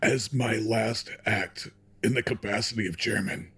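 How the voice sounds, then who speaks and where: A man playing a dying old company chairman and father in an audio drama, solemn and remorseful, with a husky, breathy voice and grave, formal speech. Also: lowish voice, steady tone, unhurried, fairly bright tone, heavily acted. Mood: solemn resolve. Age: older.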